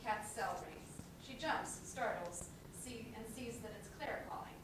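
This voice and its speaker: A woman's voice: on the high side, a little slow. A woman reading scripted stage directions as the narrator of a play. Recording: very echoey, distant mic, noisy background.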